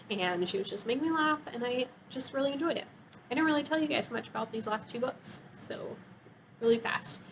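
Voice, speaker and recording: High-voiced woman chatting casually about books. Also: fairly bright tone, slight background noise, poor audio. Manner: bubbly, gushing, informal. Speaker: book reviewer and vlogger. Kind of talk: casual chatty book review.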